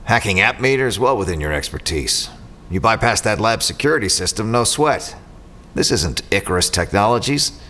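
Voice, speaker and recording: A shrewd mercenary in a sci-fi audio drama, low in pitch with lively swings. He is coolly sardonic and sure of himself, over a faint rumble. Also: moderate pace. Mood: coolly confident. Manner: sardonic, confident.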